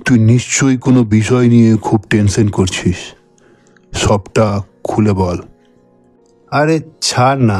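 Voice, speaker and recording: A man reading a scripted cartoon ghost story, with deliberate, drawn-out phrasing. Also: medium pitch, a little mellow, no echo. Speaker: story narrator voicing old friends. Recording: dry voice over background music. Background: music.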